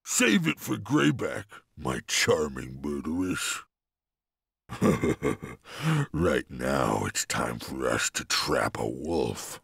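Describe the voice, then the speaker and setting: An actor playing a cruel, vengeful warlord in a fantasy game, with a raspy growl and menacing, theatrical delivery. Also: male voice, a bass voice, big pitch swings, extremely slow, fairly bright tone, no room sound, clean studio sound, heavily acted. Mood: gloating, leering. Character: cruel male Serpent Clan warlord, whip-wielding, vengeful, leering. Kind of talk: dramatic fantasy game voice acting.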